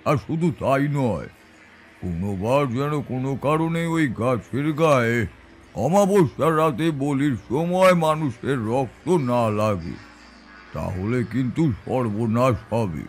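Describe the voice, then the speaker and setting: A man's voice: mid-range voice, lively intonation, slow, faint music behind. Grave tantric storyteller, acted by a man. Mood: grave, mysterious. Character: grave, composed tantric storyteller recounting his eerie adventure. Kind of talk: dramatic first-person audio storytelling.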